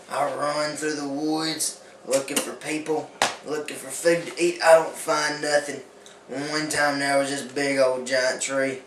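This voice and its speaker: A man playing a castaway back from years alone, talking in a folksy way with rough grammar as a talk show guest. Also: medium pitch, fairly bright tone, close to an everyday voice. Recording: echoey room, far from mic, faint hiss.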